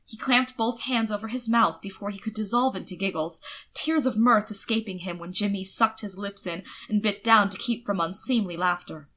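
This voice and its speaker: A woman reading fiction aloud as an expressive audiobook narrator. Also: mid-range voice, normal speed.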